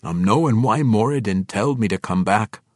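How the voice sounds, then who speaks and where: A man's voice: lowish voice, expressive, moderate pace, no echo, clean studio sound. A man voicing a hearty old male dwarf king with a thick brogue, blunt and boisterous, dropping words as he talks. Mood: hushed. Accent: dwarvish brogue.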